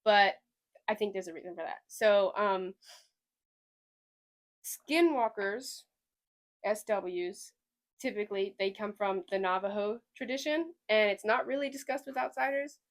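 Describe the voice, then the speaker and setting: A woman's voice: medium pitch, a little slow, long pauses. Chatty young woman with slight Southern twang. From Sweden. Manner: rambling, chatty, hedges a lot. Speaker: podcast host. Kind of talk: casual chat.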